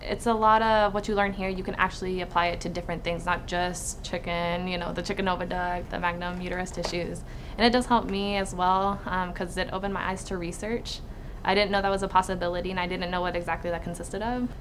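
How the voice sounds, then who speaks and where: A woman's voice: on the low side, level tone, quick pace, a bit bright, slight background noise. A woman in her twenties from Texas, a biology student talking informally about research in an interview. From Texas, United States. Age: 20s. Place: indoors in a laboratory.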